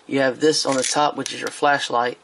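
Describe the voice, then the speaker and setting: A man's voice: mid-range voice, little pitch movement, brisk, gravelly, fairly bright tone, slight background noise. A man giving a casual product review while he handles a speaker on a tabletop, hesitant, with many fillers and restarts.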